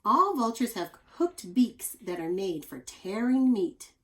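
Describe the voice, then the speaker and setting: Expressive woman teacher reading aloud. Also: medium pitch, unhurried. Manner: expressive, explanatory, with chatty asides to young listeners. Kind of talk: read-aloud of a children's nonfiction book with asides.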